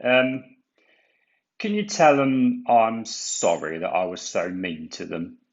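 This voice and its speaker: A man's voice: lowish voice, slow pace, muffled recording, voice pushed up. A man voicing a grumpy, haughty crane in a children's storybook, brusque and grudging in manner. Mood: sheepish, grateful.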